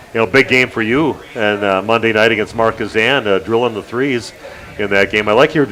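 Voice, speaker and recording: A man hosting a local radio sports show, chatting casually with guests, halting with lots of fillers and the odd joke. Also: fairly low pitch, a bit bright, low-quality recording. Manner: halting, many fillers, joking. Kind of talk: casual interview chat.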